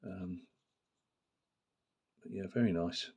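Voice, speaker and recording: A man with a slight cold and a hoarse voice, chatting casually about his hobby to camera and rambling with many fillers and restarts. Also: sits low, slow, darkish tone. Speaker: miniature painting channel host.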